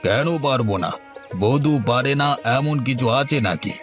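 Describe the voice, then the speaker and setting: A man plays a bragging cartoon village charm-seller. He talks emphatically, haggles and takes offence easily, in a middling male pitch. Faint music runs behind him. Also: expressive, normal speed, a bit bright, natural voice. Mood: boastful, wheedling. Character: boastful male village charm-seller, money-minded, quick to take offence. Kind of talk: cartoon dialogue.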